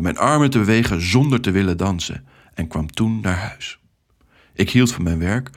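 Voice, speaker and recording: Low, slightly husky male storyteller. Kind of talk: scripted first-person children's story narration.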